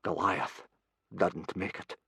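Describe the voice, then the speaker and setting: A man's voice: deep voice, slow pace, vocal fry, sharp tone, slightly rough, dry recording, studio quality, exaggerated voice. A man voicing a flustered, earnest Viking teacher who stammers out excuses in a storybook.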